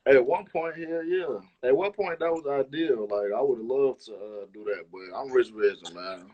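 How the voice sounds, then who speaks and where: A man's voice: mid-range voice, normal speed, highs cut off. A man chats casually as an interview guest, using heavy slang and profanity and repeating himself for emphasis. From Chicago, United States. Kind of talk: casual chat.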